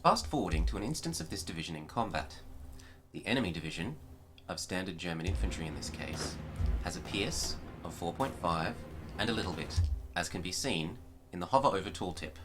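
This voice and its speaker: A man narrating a scripted gaming tutorial in a formal, measured, precise manner. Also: low-pitched, a bit bright, slight background noise. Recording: music behind the voice. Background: music.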